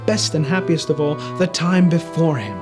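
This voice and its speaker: A man's voice: on the high side, normal speed, over music. A man narrating a classic story as a storyteller, reading expressively from a script. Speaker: storyteller, reader.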